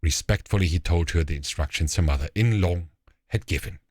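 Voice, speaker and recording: A man narrating a fairy tale as a storyteller, with deliberate, expressive phrasing. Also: low voice, husky, no echo, clean studio sound.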